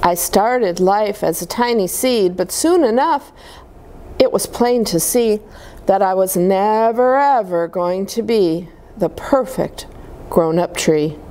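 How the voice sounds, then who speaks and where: A woman voicing a small, gentle young tree in a children's storybook, telling its life in wistful, halting phrases. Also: medium pitch, a little hiss or hum, natural voice.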